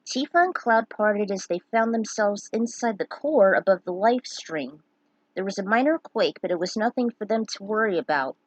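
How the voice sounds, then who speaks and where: A woman reading scripted fan fiction narration aloud, in a slightly halting manner. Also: medium pitch, expressive, no echo, poor audio.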